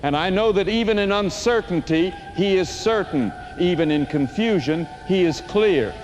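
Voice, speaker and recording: A man playing a consoling, fervent small-town preacher in an audio drama, giving a grave and deliberate sermon. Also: sits high, expressive, dull audio, background noise.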